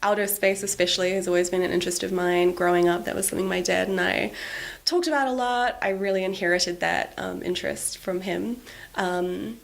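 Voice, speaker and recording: Creaky-voiced woman. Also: lowish voice, fairly even intonation, fairly quick. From New Zealand. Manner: earnest, restarts phrases, laughs easily. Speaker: artist guest. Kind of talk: casual conversation.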